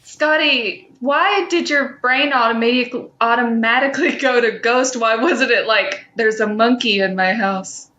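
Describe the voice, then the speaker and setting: A woman's voice: fairly high pitch, a little slow, few pauses, sharp tone. A woman co-hosting a podcast in casual, conversational banter, on a slightly dull, lo-fi recording. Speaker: podcast co-host.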